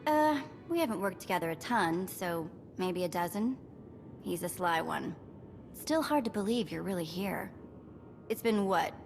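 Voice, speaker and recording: An actress playing a sassy, playful thief in a video game, talking in a casual, slangy, teasing way. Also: female voice, medium pitch, slight background noise. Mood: teasing, friendly. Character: sassy, playful female thief, breezy and streetwise. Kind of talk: video game cutscene acting. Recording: long reverb, music behind.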